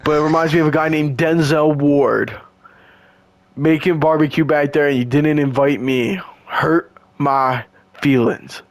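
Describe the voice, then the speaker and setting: A young man, hoarse and losing his voice, doing casual, slangy play-by-play of a video game football match. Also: fairly high pitch, measured pace, dry recording. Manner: excitable, slangy, stumbles and restarts. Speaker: play-by-play commentator.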